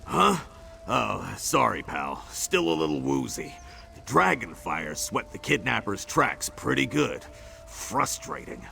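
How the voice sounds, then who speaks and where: An actor playing a tough freed male prisoner in a video game, talking in a dry, joking, gruff way. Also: medium pitch, very animated, slow, pushed and bright, full character voice. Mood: wry.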